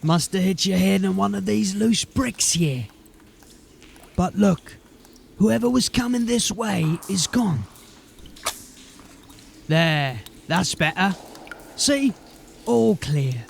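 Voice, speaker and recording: An actor playing a sardonic, coarse, bullying sewer rogue in a fantasy audio drama. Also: male voice, high-pitched, expressive, takes it slowly, gravelly, long pauses, a little hiss or hum, heavily acted. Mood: calming, sly. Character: gruff, bullying, sarcastic watchful sewer-crawling rogue, male.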